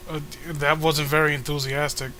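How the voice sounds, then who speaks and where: A man's voice: fairly high pitch, level tone, normal speed, bright, forceful tone, slight background noise. A man co-hosting a mocking read-along of a comic, with crude, sardonic casual commentary. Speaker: co-host reading a comic aloud.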